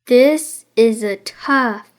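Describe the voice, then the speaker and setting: A woman voicing a serious, self-important boy detective in a deadpan, deliberate manner for a children's story. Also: mid-range voice.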